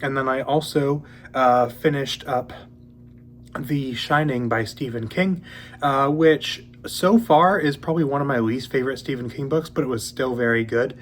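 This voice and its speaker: A young man hosting a casual book channel, talking in a relaxed, rambling way with many ums, a little sniffly as he gets over a cold. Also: medium pitch, moderate pace, slight background noise. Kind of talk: casual monthly reading update.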